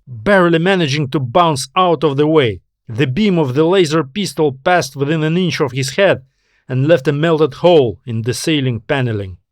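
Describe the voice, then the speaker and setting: Slow, measured male sci-fi narrator. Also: medium pitch, dry recording, clean studio sound. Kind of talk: scripted audiobook narration of science fiction.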